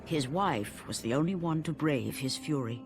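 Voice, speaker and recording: A man narrating a video game story in a grave, measured storyteller's voice. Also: a little high, animated, over music. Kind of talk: scripted narration. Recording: loud background noise.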